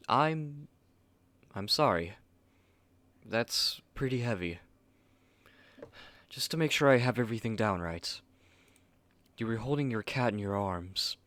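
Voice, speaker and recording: Guy doing a snooty fussy young male manga artist, asmr roleplay, curt and precise, mid pitch, dry close sound. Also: dry recording. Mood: concerned, then serious, intrigued. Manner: curt, haughty, articulate. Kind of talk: ASMR roleplay spoken to the listener.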